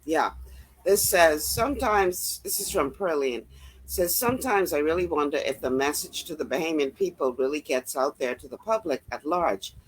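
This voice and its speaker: A woman hosting a call-in show who reads out viewer comments in a measured way and announces breaks. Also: deep voice, animated, breathy edge, poor audio. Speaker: show host.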